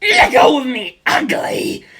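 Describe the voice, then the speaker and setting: A reader voicing a bratty male zombie villain who screeches and shouts insults in an audiobook. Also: high-pitched, very animated, slow pace, husky, pitched above the performer's own voice, full character voice. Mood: snarling. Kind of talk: audiobook character voice.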